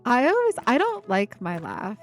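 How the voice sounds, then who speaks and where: Giggly, breathy woman streamer chatting. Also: fairly high pitch, wildly swooping pitch, moderate pace, soft background music. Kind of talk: casual live-stream chat.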